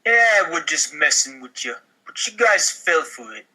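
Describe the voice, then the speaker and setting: Bright, slightly husky, high-voiced man. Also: very animated, a little slow, rough recording. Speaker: podcast performer reading parts. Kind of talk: informal comedy table read.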